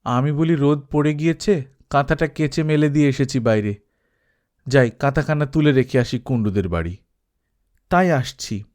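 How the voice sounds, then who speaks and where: A man's voice: mid-range voice, moderate pace, long pauses, darkish tone, natural voice. A man voicing a caring, insistent village woman in an audiobook, chatty and homely like an elder sister. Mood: explaining gently.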